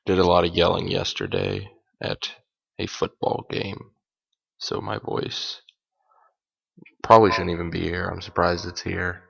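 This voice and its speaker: A very low, gravelly male voice, hoarse and a bit worn, talking loosely through a solo podcast. He rambles, stops to fix his own words and drops small jokes. The sound is dull and lo-fi, like a simple home setup. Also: unhurried, long pauses. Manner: rambling and self-correcting, with light jokes. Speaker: podcast host. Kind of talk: casual solo podcast talk.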